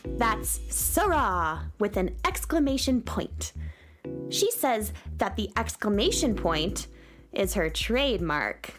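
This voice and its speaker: A woman's voice: mid-range voice, very animated, slow pace, soft background music. A woman playing an earnest young space heroine who narrates her adventures expressively in a children's radio series. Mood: storytelling. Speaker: narrator and lead character.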